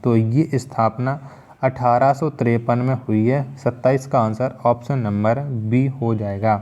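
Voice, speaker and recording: A man with a medium-pitched voice works as an exam-prep teacher, presenting a quiz-style lesson. He is methodical, reading each question out and restating it before he gives the answer. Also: darkish tone, muffled recording.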